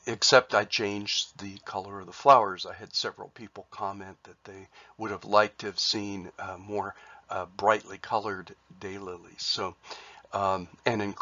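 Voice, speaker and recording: A man with a cold, sounding congested, presenting his artwork in a halting way with many fillers and restarts. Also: lowish voice, bright, forceful tone, lo-fi sound. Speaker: artist sharing his work. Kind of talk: casual spoken presentation.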